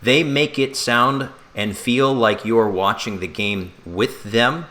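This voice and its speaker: A man co-hosting a sports talk show, speaking casually in a conversational, halting way with restarts. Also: medium pitch, bright, forceful tone.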